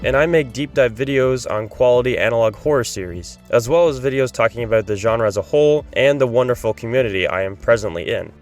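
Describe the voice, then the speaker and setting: A man's voice: mid-range voice, a little mellow. A man delivering scripted commentary as a guest video essayist.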